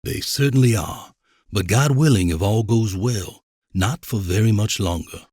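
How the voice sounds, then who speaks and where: An actor playing a pious, coolly menacing evangelical reverend in an audio drama, with a measured, preacherly delivery. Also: male voice, fairly low pitch, a little mellow, breathy edge, dry recording, studio quality. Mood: coldly menacing. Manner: preacherly, measured, self-assured.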